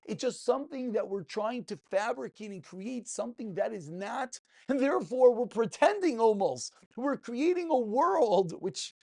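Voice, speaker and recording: A man's voice: very high-pitched, gravelly, a little mellow. A man giving a passionate, informal religious lesson as a rabbi, emphatic, full of rhetorical questions and repeated phrases.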